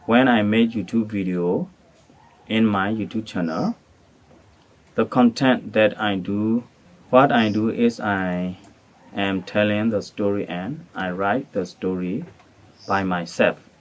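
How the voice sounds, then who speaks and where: A man's voice: on the low side, takes it slowly. An English-speaking man from Thailand, making a formal, careful prepared appeal as a channel creator. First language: not English. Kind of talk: prepared spoken appeal to camera.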